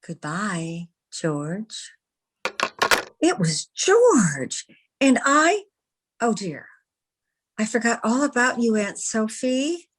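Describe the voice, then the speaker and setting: A woman playing a poised, smitten newspaperwoman in an old-time radio romance, measured, tender and ladylike. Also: on the high side, big pitch swings, husky, full character voice. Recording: video-call radio play recording.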